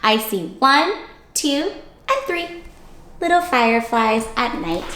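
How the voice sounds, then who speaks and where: Storytime lady, high voice, real slow and animated, talking to little kids, echoey room. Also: a little hiss or hum. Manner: animated, coaxing, simple questions to children. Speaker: children's storytime reader. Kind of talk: interactive picture-book read-aloud for young children.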